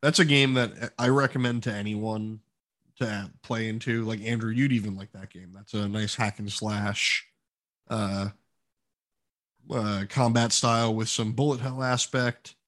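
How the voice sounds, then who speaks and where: A man's voice: on the low side, steady tone, a little slow, a bit bright. A man co-hosting a gaming podcast, chatting casually and informally with enthusiastic, opinionated remarks. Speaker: podcast co-host.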